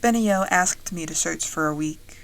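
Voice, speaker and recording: Measured, low-key dragon-riding soldier. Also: female voice, creaky, rough recording. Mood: resigned. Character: wry, battle-trained fantasy woman soldier who rides dragons. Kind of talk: first-person audio drama narration.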